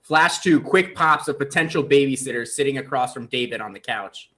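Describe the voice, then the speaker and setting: A man's voice: mid-range voice, brisk, pushed and bright. A man reading stage directions as narrator in a scripted comedy table read.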